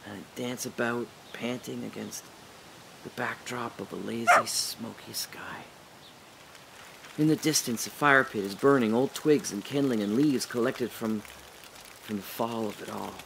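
A man performing a reflective audio drama monologue, speaking slowly and often dropping to a whisper. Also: mid-range voice, background noise. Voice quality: slow, gravelly and husky, often dropping to a whisper. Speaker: radio drama narrator and lead.

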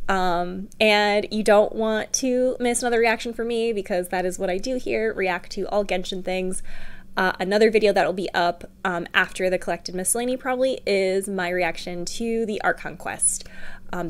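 A young woman streamer hosting a casual game reaction, bubbly and excitable with lots of fillers, at home on a desk mic. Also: mid-range voice, clear, resonant tone.

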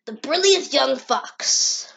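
A woman's voice: sits high, expressive, slow, vocal fry, fairly bright tone, full character voice. A woman voicing a pompous, theatrical male villain scientist in an amateur comic dub. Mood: smug mockery. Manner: pompous, grandiose.

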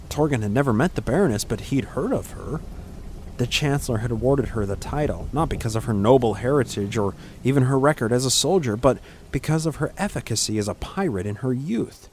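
A man's voice: medium pitch, darkish tone, slight background noise. A man narrating a scripted fantasy audiobook in a dramatic storytelling style.

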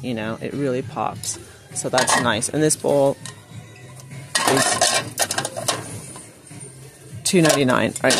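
A woman narrating a casual shop-with-me video, chatting to viewers and reading out prices as she browses a store. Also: a bass voice. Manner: chatty, reads out prices, addresses viewers as you guys. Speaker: shopping vlogger. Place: large retail store, indoors. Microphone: phone held while filming, speaker off camera. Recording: phone audio with clear store noise.

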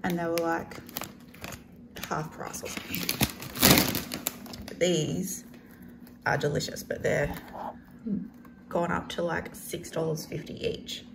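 A woman's voice: lowish voice, little pitch movement, takes it slowly, creaky, pauses often, very noisy. A woman with an Australian accent chatting casually to camera as a vlogger, unscripted and often trailing off mid-thought. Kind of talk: casual grocery haul chat to camera.

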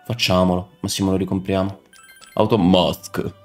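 Low-voiced young man streaming over game music. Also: flat delivery, normal speed. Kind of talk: casual live game commentary, chatting with viewers. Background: video game music.

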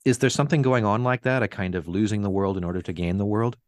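Low-voiced male host, academic, winding questions, dry close sound. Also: fast, no echo. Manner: long winding questions, hesitant repeats. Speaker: podcast host.

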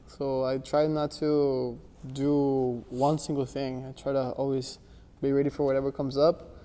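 A young man born in Miami and raised in Ecuador and Texas, answering interview questions in a soft, relaxed way, with lots of restarts and "like". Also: medium pitch. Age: young adult. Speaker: interview guest, jiu-jitsu athlete. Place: gym with large windows, indoors. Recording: long reverb from a large room.

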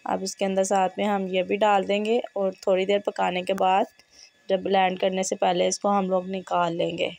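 A woman with a hoarse, fevered voice, hosting a home cooking tutorial and giving steady step-by-step instructions in a kitchen. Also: medium pitch, moderate pace. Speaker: cooking channel host.

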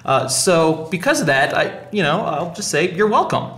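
A male podcast host with a slightly high voice talks casually in an echoey room. He keeps a jokey, self-deprecating manner as he chats. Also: sing-song, normal speed, a bit bright.

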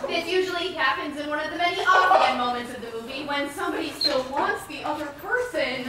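A young woman acting as an emphatic, theatrical lecturer in a scripted stage comedy, with a deliberate delivery. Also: high voice, expressive. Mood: emphatic explaining. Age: young adult. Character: lab-coated female lecturer, theatrical and emphatic. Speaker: stage actor playing a lecturer. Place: large theatre stage, indoors. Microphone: distant camera microphone. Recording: echoey theatre, far off, audience noise.

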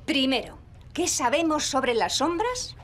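An encouraging cartoon teacher with a high, swooping voice and a Castilian Spanish accent. She explains things patiently to small children, with music playing behind her. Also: big pitch swings, measured pace. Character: cheerful, encouraging young woman preschool teacher.

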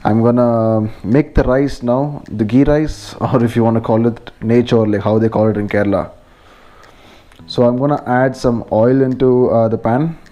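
A man's voice: medium pitch, steady tone, moderate pace, mellow, lo-fi sound. A man with an Indian accent giving a casual, informal step-by-step cooking narration as a home vlogger in a kitchen.

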